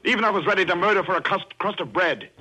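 A man's voice: sits high, very fast, sharp tone, slightly rough, heavily acted, rough recording. An actor playing a ruthless, starving castaway who becomes a murderer and narrates his own story in 1940s radio drama style. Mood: defiant. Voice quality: hard-edged, brooding first-person narration. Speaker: narrator and lead character. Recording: hissy old radio broadcast recording.

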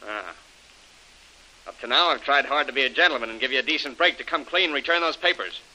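A man's voice: medium pitch, expressive, fast talker, bright, forceful tone, low-quality recording. A snarling tough-guy villain. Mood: threatening. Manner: hard-boiled gangster talk, clipped threats. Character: menacing male villain, tough-talking bully. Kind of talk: 1930s radio acting.

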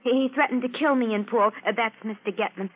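An actress as a high-voiced, vain, naive glamour girl. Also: fast, natural voice. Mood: vain, artless. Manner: gushing slang mixed with stilted genteel phrases. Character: naive, vain working-class femme fatale putting on refinement. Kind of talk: 1940s radio drama acting. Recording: old lo-fi radio drama recording.

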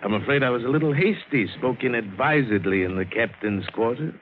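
An actor playing a smooth, scheming gun-runner with courteous menace in a vintage radio drama. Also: male voice, mid-range voice, expressive, phone-quality sound, natural voice. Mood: oily, polite. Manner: suave, deliberate, falsely courteous. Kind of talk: 1950s radio drama acting. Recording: thin, hissy old radio recording.

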